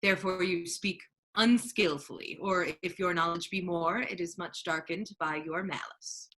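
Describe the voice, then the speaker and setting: A woman's voice: lowish voice, moderate pace, pushed and bright. A woman acting a dignified, indignant friar in a staged Shakespeare reading, speaking in a formal, righteous manner. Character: Duke disguised as a male friar, dignified, indignant.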